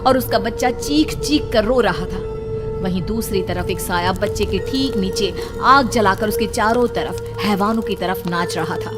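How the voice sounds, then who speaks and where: A woman's voice: medium pitch, normal speed, loud music behind the voice. A woman narrator reading a scripted horror story in a dramatic style.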